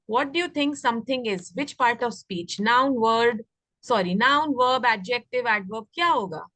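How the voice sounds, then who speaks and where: A woman's voice: on the high side, lively intonation, normal speed, sharp tone, no echo. A woman with an Indian accent teaching children English grammar, explaining and often asking questions to check understanding. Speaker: teacher of an online class.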